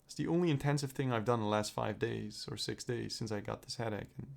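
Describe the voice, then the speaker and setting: A man's voice: mid-range voice, a little fast, gravelly. A young man recovering from a cold, rambling and swearing as he thinks aloud in a personal video diary. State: recovering from a cold, throat clearing up. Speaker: vlogger.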